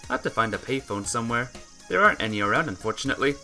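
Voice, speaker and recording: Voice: mid-range voice, expressive, racing, sharp tone, soft background music. A gamer reading a visual novel aloud as narration, now and then tripping over words and correcting the slip. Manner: stumbles over words and corrects himself or herself. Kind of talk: game playthrough narration, reading aloud with character voices.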